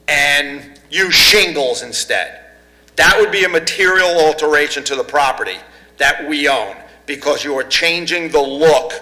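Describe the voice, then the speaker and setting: A man with a fairly high, bright and forceful voice lays out the association's rules at a board workshop. He talks in a measured, explanatory way, stopping now and then to hedge and restate a point. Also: poor audio.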